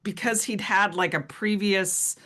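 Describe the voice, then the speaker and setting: A woman's voice: mid-range voice, steady tone, unhurried, fairly bright tone. A woman hosting a podcast, chatting casually and enthusiastically as she interviews her guests. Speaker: podcast host.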